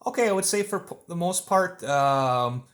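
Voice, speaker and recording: A man's voice: high-pitched, animated, moderate pace, fairly bright tone, roomy sound. A man chatting casually and at some length as an amateur radio operator on a contact. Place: indoors at a home radio desk.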